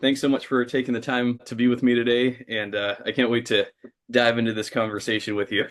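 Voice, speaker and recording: A man hosting a casual interview, talking with enthusiasm at length, often pausing on uh. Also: medium pitch, level tone, a little hiss or hum. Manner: enthusiastic, talkative, frequent uh fillers. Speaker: host.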